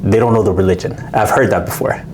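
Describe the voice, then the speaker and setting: A man, co-hosting a casual chat, pushing along with quick questions and short "okay"s. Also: fairly low pitch, a little mellow, slight background noise. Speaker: podcast co-host. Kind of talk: casual interviewing.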